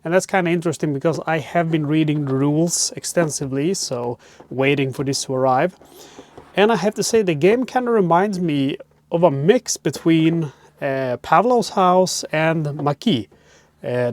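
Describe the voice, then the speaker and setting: A man's voice: a little high, darkish tone, no echo. Swedish-accented man chatting in a dry RV. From Sweden. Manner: chatty, rambling with frequent "uh" fillers. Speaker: board game channel host. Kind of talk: casual unboxing chat. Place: cramped RV parked by a harbor. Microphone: wireless clip-on microphone.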